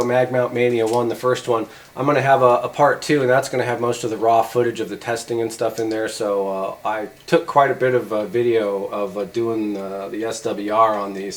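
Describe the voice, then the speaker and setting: A middle-aged man casually demonstrating gear as a video host, talking in a rambling, hesitant, informal way. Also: mid-range voice. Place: indoor garage workshop at a workbench.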